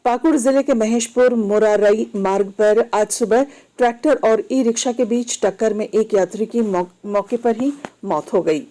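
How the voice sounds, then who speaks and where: A woman reads a scripted news bulletin as a radio newsreader, in a formal, measured manner. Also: on the high side, dark tone, rough recording.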